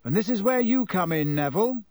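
Man doing a kind middle-aged professor, patient, encouraging, lifted and lively pitch, dry close sound. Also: fairly quick, no room sound. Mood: patient teaching. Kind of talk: audiobook character voice.

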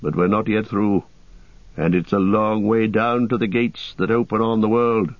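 A man voicing a wise old wizard who speaks with deliberate, reassuring authority in an audiobook. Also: on the low side, fairly even intonation, dry recording, phone-quality sound, lightly acted. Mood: grave, reassuring. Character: wise old male wizard guiding weary travellers.

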